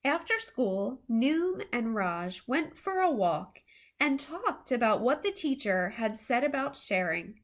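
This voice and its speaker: A woman reads a picture book aloud for young children. Her voice sits high and moves freely up and down, with an unhurried, carefully expressive storytime delivery.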